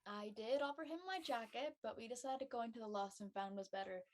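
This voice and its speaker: A woman playing a sensible, helpful high-school girl in an amateur script reading. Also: fairly quick, natural voice. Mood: reassuring. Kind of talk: amateur audio drama table read.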